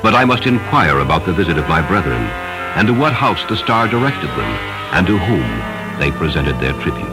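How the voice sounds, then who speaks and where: A man portrays a noble, devout traveler in a storybook. The voice is very low and grave, with formal phrasing and music underneath. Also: sing-song, normal speed, rough recording. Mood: earnest.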